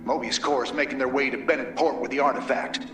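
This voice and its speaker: A man's voice: mid-range voice, fairly even intonation, quick pace, lightly acted. A man acting a gritty, wry rebel commander, echoing. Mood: grim, wry. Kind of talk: video game cutscene voice acting. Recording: game audio, echo, loud music behind.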